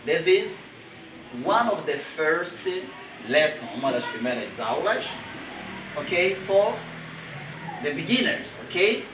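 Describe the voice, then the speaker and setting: A man with a Portuguese accent runs a beginner language lesson. He speaks slowly and repeats simple phrases over and over. He is picked up from across an echoey room, with steady noise behind him in a rough amateur recording. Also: a little high. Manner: patient, repetitive drilling with simple phrases. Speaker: language teacher. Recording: distant roomy amateur recording with background noise.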